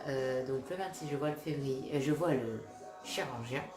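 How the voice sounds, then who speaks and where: A woman's voice: a bass voice, moderate pace, roomy sound, over music. A young woman from France with a stuffy head cold, chatting casually to her phone camera in a rambling way, full of fillers. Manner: rambling, many fillers and restarts. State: congested with a cold. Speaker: vlogger. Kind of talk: casual vlog talk to camera.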